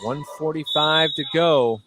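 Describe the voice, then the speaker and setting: Play-by-play man, American, fairly high voice, live basketball radio call from a loud gym. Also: unhurried. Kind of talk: live radio sports commentary. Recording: noisy gym ambience, bouncing basketballs. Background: busy gymnasium with basketball bounces.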